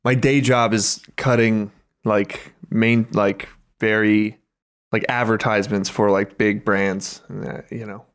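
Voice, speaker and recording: A man's voice: on the low side, level tone, unhurried, clear, resonant tone. A man co-hosting a podcast, chatting casually with a friend in a wry, easygoing and profane way. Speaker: podcast co-host. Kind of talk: casual comedic chat between friends.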